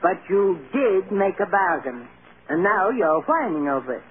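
A woman's voice: fairly low pitch, very animated, unhurried. An actress playing a stern but loving old grandmother, firm and earnest, in 1940s radio drama style. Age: older. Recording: tinny, hissy old radio recording.

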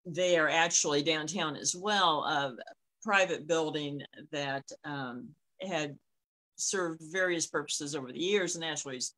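A middle-aged woman telling stories casually on a video call, often pausing mid-thought. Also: low voice, a bit bright, low-quality recording. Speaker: co-host. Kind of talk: casual conversational storytelling. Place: small room at home, on a video call. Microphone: device mic on a video call.

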